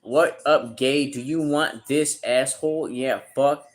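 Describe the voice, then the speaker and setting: A man in his early thirties livestreaming, reading chat aloud and joking crudely, with sudden loud outbursts. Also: mid-range voice, fairly even intonation, slow. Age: 30s.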